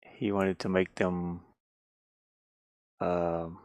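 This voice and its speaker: A man chatting casually on a livestream, rambling and halting, often putting down his own efforts. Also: deep voice, little pitch movement, a little mellow, dry recording. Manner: halting, rambling, self-deprecating. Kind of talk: casual livestream chat while sketching.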